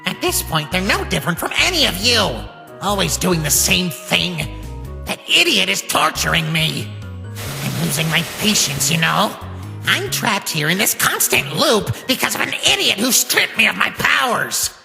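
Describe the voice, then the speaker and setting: An actor voicing a scheming, bitter little talking flower in a dramatic comic dub, with a taunting, drawn-out delivery. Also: medium pitch, big pitch swings, vocal fry, sharp tone, in a large hall, soft background music, exaggerated voice. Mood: frustrated, seething.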